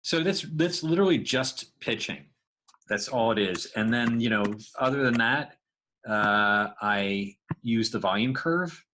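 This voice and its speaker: A man's voice: mid-range voice, expressive, measured pace, sharp tone. A man giving a casual, informal walkthrough as a podcast creator and sound designer, explaining loosely as he goes.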